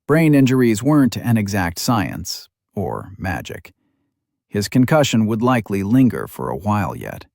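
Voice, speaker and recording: A man narrates a fantasy audiobook in a fairly low voice. His scripted reading has very animated intonation, and the sound is close and dry. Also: normal speed, vocal fry, no echo.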